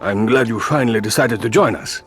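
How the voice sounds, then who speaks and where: A man's voice: fairly low pitch, fairly quick, faint music behind, close to an everyday voice. An actor playing a commanding, fatherly racing team boss in a video game. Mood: brisk, commanding. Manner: authoritative. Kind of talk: dramatic video game acting.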